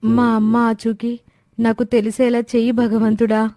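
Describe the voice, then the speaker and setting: A woman's voice: fairly high pitch, monotone, a little slow, mellow, dry recording, clean studio sound. A woman voicing an earnest, plaintive young daughter searching for her mother in a moral story. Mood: yearning, determined. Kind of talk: Telugu moral story character acting.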